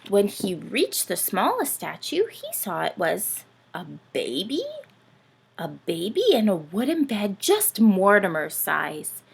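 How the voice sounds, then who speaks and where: A woman reading a picture book aloud for young children in an expressive, dramatic storytelling style. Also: medium pitch, slow pace, gravelly, slight background noise.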